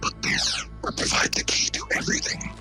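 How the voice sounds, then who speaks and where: A man voicing a cold, grandiose robot scientist villain in a scripted audio drama, with heavily processed robotic delivery and measured monologues. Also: pushed and bright, soft background music. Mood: grandiose, menacing.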